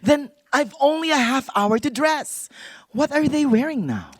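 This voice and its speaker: An actor playing an eager, polite, two-hundred-year-old apprentice angel in an old-fashioned, excitable way for a radio play. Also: high voice, measured pace, no echo. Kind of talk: live radio play acting.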